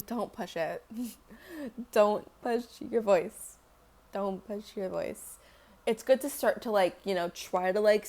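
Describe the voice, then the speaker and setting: A young woman who sings, answering fan questions in a loose, rambling way full of fillers and casual swearing. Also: darkish tone. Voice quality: deeper singing voice. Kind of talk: casual Q&A chat.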